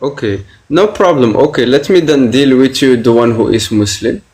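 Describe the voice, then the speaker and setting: A man's voice: medium pitch, moderate pace, darkish tone. A man debating online, pressing his point with repeated questions and frequent hesitant fillers.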